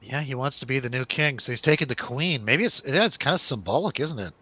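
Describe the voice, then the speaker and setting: A man's voice: mid-range voice, animated, fast talker, bright, forceful tone, no room sound, muffled recording. A man hosting a casual movie review chat, joking and irreverent in the way he talks. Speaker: host of a movie review show.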